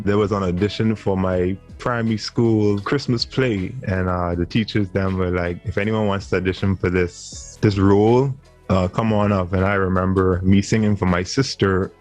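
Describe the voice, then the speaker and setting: A man in his twenties with a Bahamian accent, talking earnestly as a guest on a video-call interview. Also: sits low. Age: 20s. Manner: earnest, laughs easily. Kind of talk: casual interview chat.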